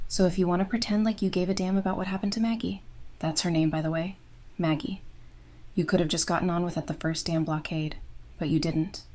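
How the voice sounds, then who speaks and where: A woman's voice: fairly low pitch, a little mellow, natural voice. A woman playing a fiery, defiant survivor in an audio drama, speaking with biting, confrontational sarcasm. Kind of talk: full-cast fan audio drama acting.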